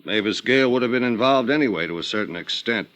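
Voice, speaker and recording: An actor playing a brisk, businesslike police sergeant in a vintage radio drama. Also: male voice, medium pitch, animated, fairly bright tone, natural voice. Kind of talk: 1950s radio drama acting.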